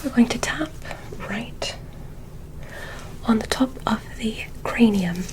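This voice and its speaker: A woman whispering an ASMR roleplay as a polite, curious alien scientist, giving soft, deliberate, soothing instructions. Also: a little high, animated, background noise. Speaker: ASMR performer.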